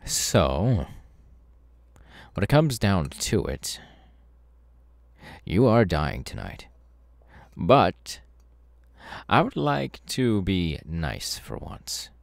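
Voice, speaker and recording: A very deep, slow-talking masked cult killer, acted by a man, smug and sadistic. He taunts with mocking casualness in an ASMR roleplay, his delivery swinging widely in pitch. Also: very animated, vocal fry, no echo, heavily acted. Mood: mockingly polite. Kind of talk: ASMR audio roleplay, villain monologue.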